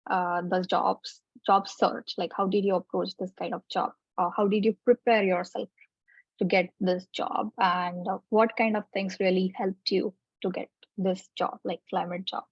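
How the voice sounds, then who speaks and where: A woman hosting an informal interview, asking questions in a halting way and rephrasing as she goes. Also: mid-range voice, little pitch movement, husky, no echo, dull audio. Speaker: host interviewing guests.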